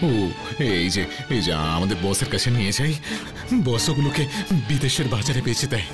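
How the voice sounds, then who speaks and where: A man's voice: medium pitch, animated, brisk, over music, close to an everyday voice. An actor playing a scheming fake holy man and greedy snake smuggler in a kids' cartoon. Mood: panicked, pleading. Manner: crafty, boastful, stammers when cornered. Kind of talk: animated kids' cartoon acting.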